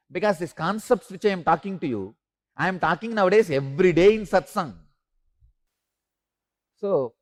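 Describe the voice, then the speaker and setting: A man, a Tamil speaker, leading a spiritual class with slow, repetitive instructions and emphatic rises. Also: on the high side. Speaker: spiritual teacher.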